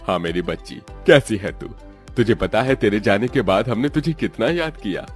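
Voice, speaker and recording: Man acting affectionate low-voiced older father. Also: lively intonation, moderate pace, natural voice. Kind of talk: dramatised story dialogue. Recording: music under the voice.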